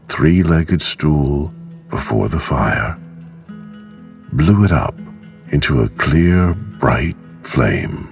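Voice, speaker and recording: A man with an extremely low, gravelly voice narrates a bedtime story. He reads gently and very slowly, with lively rises and falls in pitch. Music plays behind him. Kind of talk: slow scripted storybook narration for sleep.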